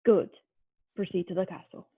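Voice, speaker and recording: A woman's voice: fairly low pitch, moderate pace, creaky, breathy edge, heavily acted. A woman voicing a scheming male village leader who calls and gives orders over a walkie-talkie, read on a video call. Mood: cold. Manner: urgent calling, then cold orders. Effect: walkie-talkie radio. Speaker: table read actor. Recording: tinny phone-like line.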